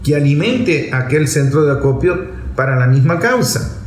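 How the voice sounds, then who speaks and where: Higher-voiced Venezuelan man, echoey recording. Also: lively intonation, moderate pace. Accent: Venezuelan Spanish. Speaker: political commentator and activist. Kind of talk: political monologue, spoken off the cuff. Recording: echoey room with faint background noise.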